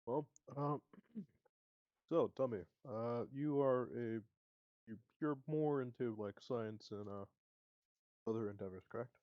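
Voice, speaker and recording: A man's voice: medium pitch, takes it slowly, long pauses, dry recording, lo-fi sound, close to an everyday voice. Man playing awkward, friendly ex-Marine mercenary, dry recording. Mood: awkward, curious. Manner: hesitant, conversational.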